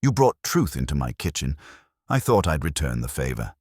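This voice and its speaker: Man reading a calm woman, very low voice. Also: moderate pace, husky, dry recording, studio quality, full character voice. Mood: grateful. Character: calm, grateful woman, a restaurant cook. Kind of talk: audiobook detective fiction.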